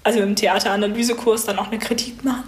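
A woman's voice: medium pitch, fairly even intonation, quick pace. A woman in her twenties giving casual, chatty answers as a student being interviewed. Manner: chatty, informal.

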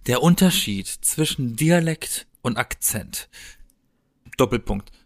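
A man's voice: medium pitch, expressive, takes it slowly. A man in a casual podcast chat, explaining things and bantering dryly as co-host.

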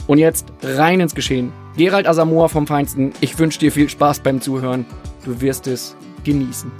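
A man's voice: fairly high pitch, fairly even intonation, darkish tone, soft background music. Upbeat man hosting a podcast. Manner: upbeat, quick-talking host. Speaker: podcast host. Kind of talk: podcast intro and interview. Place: small press room in a stadium.

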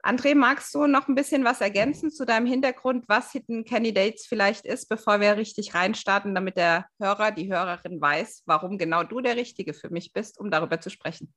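A woman's voice: medium pitch, a little fast, few pauses, fairly bright tone. A woman hosting a podcast interview in a conversational way. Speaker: podcast host.

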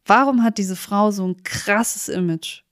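Female podcast co-host, mid-pitched, animated and informal, thinks aloud, very dry recording. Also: unhurried, no room sound. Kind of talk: casual podcast chat.

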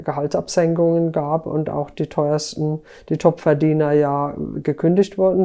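A woman with a soft, mellow voice talks as a journalist being interviewed on a podcast. She explains in a conversational way, pausing and picking up again mid-sentence. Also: sits low.

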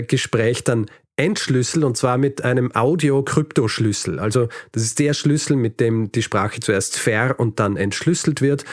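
A man's voice: medium pitch, no echo. A man hosting a podcast, telling history in a conversational, explanatory way with light dry humour. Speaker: podcast host.

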